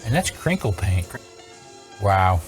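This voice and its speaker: A man's voice: low-pitched, big pitch swings, slow. Dryly teasing man talking shop over music. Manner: questioning, thinking aloud, dry teasing. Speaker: vintage audio repair technician. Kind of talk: casual hands-on repair chat, bantering.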